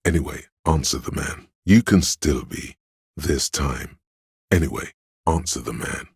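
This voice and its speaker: A pedantic, all-knowing inner voice from a video game. It is extremely low and dry in the recording, with no room around it. The delivery is measured and scholarly, laying out facts like scripted lore. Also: male voice, creaky, husky, dry recording, exaggerated voice. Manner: measured, learned, didactic. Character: pedantic, erudite inner voice of encyclopedic knowledge. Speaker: game character voice, narrator of lore. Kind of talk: scripted narration, delivering trivia.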